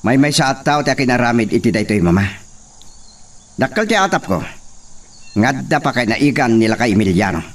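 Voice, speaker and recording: A man's voice: mid-range voice, very animated, a little fast, long pauses, distant mic, lightly acted. A man acting a suspicious hacienda boss giving orders to his men in a Filipino radio drama. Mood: stern, suspicious.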